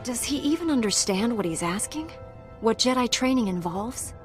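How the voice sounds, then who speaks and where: A woman's voice: a little high, animated, moderate pace, natural voice. A woman voicing a confident young female knight in a video game, direct and matter-of-fact. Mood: resolute. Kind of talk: video game character acting. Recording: game music behind voice.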